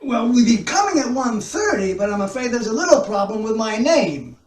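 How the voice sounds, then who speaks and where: A woman playing a flustered, forgetful, pompous old gentleman in a comic readers' theater sketch, stammering and muttering. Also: a little high, big pitch swings, a little fast. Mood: insistent. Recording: roomy, distant online video call.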